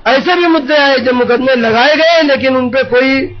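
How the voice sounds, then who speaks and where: Male TV guest, strikingly high pitch, rambling earnest complaint, dull remote sound. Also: normal speed. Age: middle-aged. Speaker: panel guest. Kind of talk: live TV interview answer. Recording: dull, muffled remote TV audio.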